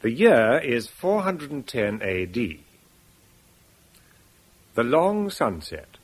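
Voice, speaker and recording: A male announcer giving a formal radio drama introduction in a slow, stately manner. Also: mid-range voice, sing-song, slightly rough.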